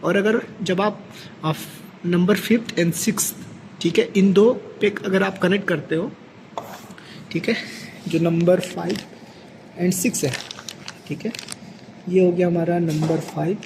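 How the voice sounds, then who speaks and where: A man's voice: sits high, a little slow, pauses often. A man with an Indian accent giving a hands-on, step-by-step equipment tutorial as a technician, often adding a short check-in tag. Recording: clearly noisy amateur recording with ambient sound.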